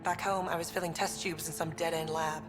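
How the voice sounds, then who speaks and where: Music plays under a low, reverberant voice: an actress as a determined female colony scientist in a sci-fi game. She talks with informal confidence and plain earnestness. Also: flat delivery, brisk, lightly acted. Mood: earnest, proud. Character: adult woman, upbeat determined colony research scientist. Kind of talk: video game dialogue. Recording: long reverb, music behind.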